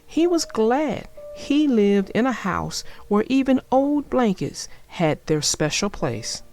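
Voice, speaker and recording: A woman narrating a children's story aloud in an expressive storytelling manner. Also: on the high side, slow, faint music behind.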